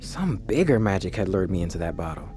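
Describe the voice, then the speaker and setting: A man's voice: low-pitched, sing-song, fairly quick. A man playing the ghost of a male cat, telling his own story in a wry, folksy way. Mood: reflective. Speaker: narrator. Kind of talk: first-person scripted storytelling. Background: music.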